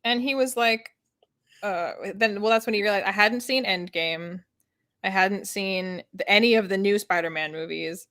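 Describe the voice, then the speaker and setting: A woman's voice: medium pitch, normal speed, fairly bright tone. A woman chatting casually as a co-host, blunt and opinionated. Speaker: livestream co-host. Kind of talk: casual chat.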